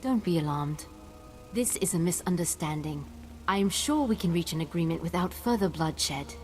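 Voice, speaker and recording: Casting: woman as calm aristocratic female negotiator for a game, lowish voice, formal and measured, over music. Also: lightly acted. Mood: calm, grave. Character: composed, diplomatic noblewoman official negotiating calmly. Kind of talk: video game voice acting.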